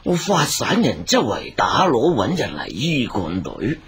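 A man's voice: fairly low pitch, huge pitch swings, measured pace, a loud crowd, full character voice. An actor playing an anxious, wealthy father in his fifties in a radio drama, sighing and hesitant, with a Hong Kong Cantonese accent. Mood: anxious, grieving. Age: 50s.

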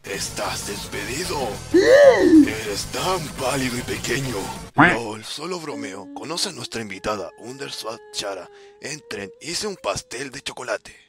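A man's voice: mid-range voice, huge pitch swings, measured pace, creaky, over music, full character voice. A man voicing a bossy, theatrical father who runs a studio and teases his son, in a cartoon fandub with a Latin American Spanish accent. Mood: teasing, bossy.

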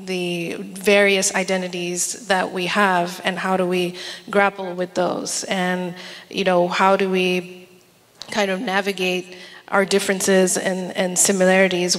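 A woman author from Bangladesh speaks earnestly into a handheld mic, often repeating a word while she finds the next. Her pitch stays level and even. Her voice carries a long hall reverb. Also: a little slow. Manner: earnest, repeats words while searching for them. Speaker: author on a panel. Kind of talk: panel discussion answers. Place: large event hall with an audience.

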